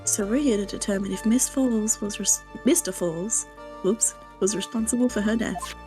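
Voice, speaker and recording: A woman's voice: medium pitch, moderate pace, over music, pitched below the performer's own voice, natural voice. A woman voicing a young, earnest defense attorney in a live fan dub of a courtroom game. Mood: questioning.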